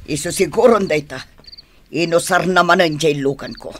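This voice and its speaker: A Filipino woman acting in a radio drama as an excitable, complaining young village woman. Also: lowish voice, normal speed, a little hiss or hum, close to an everyday voice. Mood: startled, scornful.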